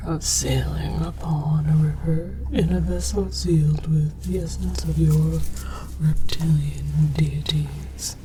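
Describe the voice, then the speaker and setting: A woman playing a scholarly alien archaeologist in a whispered, deliberate ASMR lecture, fascinated by human artifacts. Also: sits low, fairly even intonation, poor audio. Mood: hushed scholarly fascination. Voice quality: breathy whisper. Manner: slow, deliberate, mock-scholarly. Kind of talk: ASMR roleplay, whispered mock-documentary lecture. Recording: clear background noise with clicks.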